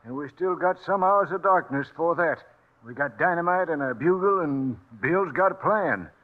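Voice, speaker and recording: Male actor, cantankerous frontier old-timer, high voice, Western drawl, folksy, narrow vintage radio sound. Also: lively intonation, moderate pace, natural voice. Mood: eager. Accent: American Western drawl. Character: feisty, stubborn old frontier grandfather. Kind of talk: 1950s Western radio acting.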